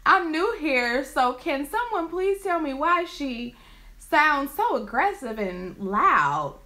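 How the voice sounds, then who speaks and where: A young woman co-hosting a casual couple chat, talking loudly and excitedly in a high-pitched voice, recorded in a small room. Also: very slow. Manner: aggressive talker. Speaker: co-host.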